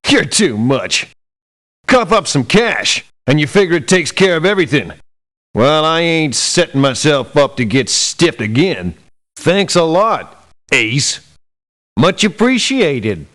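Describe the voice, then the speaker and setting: A streetwise male mercenary from a video game, talks in a fairly high voice with wide swings in pitch. He takes his time over each blunt, sardonic line, sounding thoroughly disgruntled. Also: very animated, slow, fairly bright tone, heavily acted. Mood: sardonic, resentful. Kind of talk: video game character lines.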